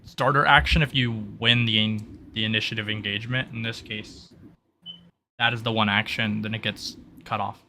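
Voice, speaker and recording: A man narrating a tabletop roleplay session as game master, in a halting delivery with drawn-out pauses. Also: fairly low pitch, steady tone, sharp tone, a little hiss or hum.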